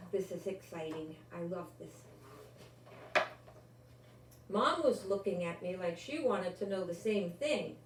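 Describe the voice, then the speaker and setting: An older woman reads a children's story aloud in a slow, expressive way, pausing to add her own comments. She sounds a little far from the microphone in an echoing room, with faint noise behind her. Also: mid-range voice. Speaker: grandmother reading a children's book aloud. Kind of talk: storybook read-aloud with asides. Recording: roomy, distant microphone, faint background noise.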